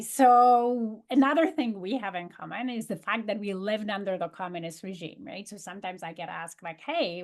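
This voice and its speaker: A woman from Poland whose first language is Polish, hosting an interview and asking questions in an upbeat, enthusiastic way. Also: medium pitch. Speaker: interviewer, host. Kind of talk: casual interview chat.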